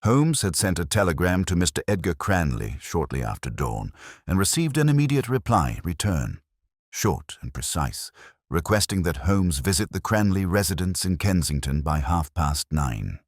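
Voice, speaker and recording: Very deep-voiced man narrating a story. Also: sing-song, normal speed, no echo, clean studio sound. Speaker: audiobook narrator.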